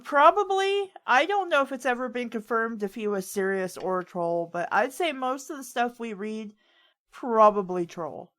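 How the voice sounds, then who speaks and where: A woman's voice: on the high side, expressive, normal speed, a bit bright. A woman hosting a casual chat, talking in a rambling, opinionated storytelling way. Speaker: host of a fan fiction reading show.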